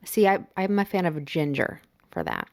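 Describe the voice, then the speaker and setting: Playful low-voiced woman podcast co-host. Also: moderate pace. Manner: playful, teasing, animated reactions. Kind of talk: casual chat. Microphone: studio podcast mic, moves in and out.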